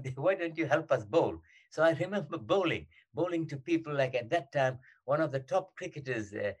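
An older man with a South African accent, recalling his past in an interview, halting often with many fillers and restarts. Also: medium pitch. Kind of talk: reminiscing in an interview.

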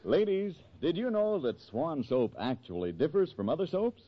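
A man's voice: fairly high pitch, huge pitch swings, normal speed, over loud music. A man delivering an emphatic, salesmanlike 1940s radio commercial as announcer. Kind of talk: 1940s radio advertising pitch. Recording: dull vintage 1940s radio.

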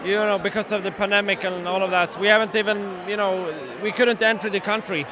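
Guest, man from Sweden, high pitch, chatty with restarts; crowded bar behind. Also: level tone, fairly quick, crowd noise. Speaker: guest, toy collector. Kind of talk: casual chat. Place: busy hotel bar.

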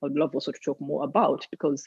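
A woman's voice: sits low, fairly even intonation, fast talker, darkish tone, breathy edge, no echo, muffled recording. A young woman from Uganda with a Ugandan accent, talking earnestly as an interview guest, often adding "you know". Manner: earnest, emphatic, with frequent "you know"s and laughs. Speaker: guest, environmental advocate. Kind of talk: casual interview talk.

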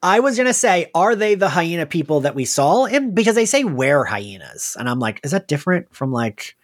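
A man co-hosting a podcast, chatting casually and excitedly through a recap with plenty of filler words. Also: fairly high pitch, moderate pace. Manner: animated, giggly, frequent filler words. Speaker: podcast co-host. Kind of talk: casual chat recapping an audio drama.

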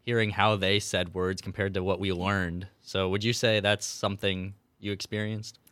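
A young man hosts a school podcast in an easygoing, casual way. His voice sits low, and the sound is dry and close. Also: moderate pace, no room sound. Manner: relaxed, easygoing, some fillers. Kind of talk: casual student podcast intro. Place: school room.

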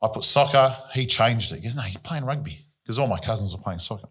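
An Australian man, a host, chatting casually and telling animated stories about his sporting past. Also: on the low side, fast talker, husky. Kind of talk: casual chat.

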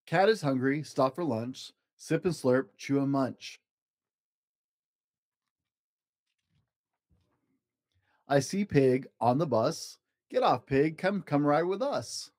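A man's voice: a little high, animated, measured pace, few pauses, darkish tone. A man voicing a cheerful, careful storybook toad who loves driving, in a rhythmic sing-song. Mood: carefree. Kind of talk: children's storybook read-aloud.

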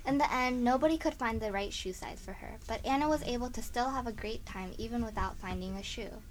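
A woman's voice: a little high, brisk. A woman reading scripted narration for a children's story as the narrator. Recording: faint background noise.

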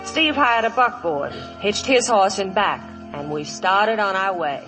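A woman's voice: medium pitch, expressive, unhurried, natural voice, rough recording. An actress playing a wistful frontier woman who narrates and acts out her story in a 1950s radio western. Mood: reflective, grave. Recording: vintage radio broadcast with music.

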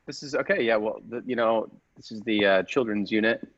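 Flustered ward worker, played by a man: lowish voice, halting, rambling, slightly dull recording. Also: fairly bright tone. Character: awkward, flustered burn-unit worker greeting a volunteer. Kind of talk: improvised radio-play parody. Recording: slightly dull, muffled sound.

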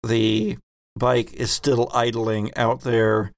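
Male game master, creaky mid-pitched voice, narrates and explains rules conversationally, very dry recording. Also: little pitch movement, slow, no echo. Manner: conversational, explanatory, jokey asides.

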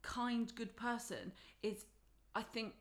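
A woman's voice: a little high, slow pace. A young woman, chatting enthusiastically as a podcast guest, often restarting her sentences. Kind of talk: casual conversation.

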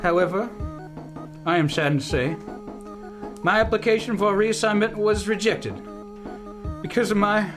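A man's voice: sits high, lightly acted. Man playing a blustering folksy old general over music. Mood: confiding, solemn. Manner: blustering, halting, self-important. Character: pompous, folksy older male general turned pizza restaurateur. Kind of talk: comic amateur radio play acting. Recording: music under the dialogue.

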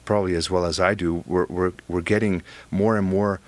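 Belgian-accented man, animated and talkative. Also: deep voice, fairly quick. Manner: animated, repeats words when starting sentences. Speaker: guest. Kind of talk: conversational interview.

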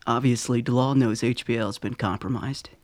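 A man playing a shrewd leader in a radio drama, with a brisk, matter-of-fact manner. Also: mid-range voice, fairly even intonation, dry recording, lightly acted. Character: shrewd male leader analysing intelligence.

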